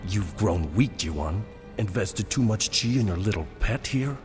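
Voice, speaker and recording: A man's voice: lowish voice, animated, normal speed, a little mellow, close to an everyday voice. A man playing a sneering traitor swordsman. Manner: sneering, contemptuous. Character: scheming traitorous swordsman villain, cold and contemptuous. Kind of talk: game cutscene voice acting. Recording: game audio with clear music behind.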